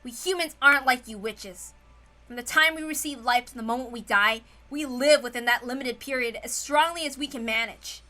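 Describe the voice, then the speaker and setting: A woman's voice: on the high side, normal speed, bright, forceful tone, faint music behind, voice pushed down. A woman playing an indignant, stubborn young man who argues righteously in a visual novel read-aloud. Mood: righteous anger. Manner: emphatic, righteous, argumentative.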